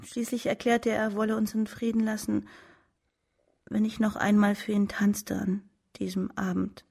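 A woman's voice: mid-range voice, level tone, moderate pace, creaky, darkish tone, slightly rough. An actress in a radio drama playing an earnest young woman who gives restrained, formal, slightly hesitant testimony to a judge. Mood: subdued, distressed.

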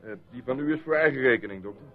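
A jovial village innkeeper, played by a male actor in a radio play, sociable and fond of teasing. His medium-pitched voice comes through a narrow, phone-like old recording. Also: racing, lightly acted. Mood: bantering. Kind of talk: radio drama acting.

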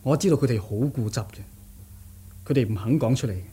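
A man's voice: medium pitch, animated, quick pace, warm tone, slightly rough. A male actor playing a determined, sardonic young martial-arts nephew in a radio drama, theatrical, scoffing and insistent. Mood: earnest, pressing. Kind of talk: Cantonese radio drama acting.

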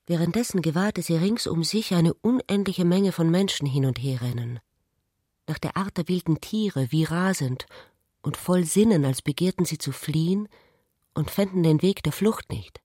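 Husky woman narrating literary prose. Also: on the low side, moderate pace, mellow, dry recording, studio quality. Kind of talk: literary radio play narration.

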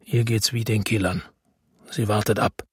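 A very husky, low-voiced weary man tells his crime story in a radio drama. He is brooding and measured, understated yet determined, recorded close and dry. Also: fairly even intonation, no room sound. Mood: quietly insistent. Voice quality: husky, rough. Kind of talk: German radio crime drama, first-person narration and dialogue.

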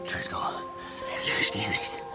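An actor playing a young, earnest and unsettled scout in a German western radio drama. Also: male voice, unhurried, in a whisper, music in the background, natural voice. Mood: bewildered.

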